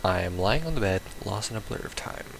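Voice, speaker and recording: A man's voice: lowish voice, animated, brisk, gravelly, slight background noise. A man playing a visual novel, reading its text aloud casually with baffled, blunt asides. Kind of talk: casual let's play, reading game text aloud with confused reactions.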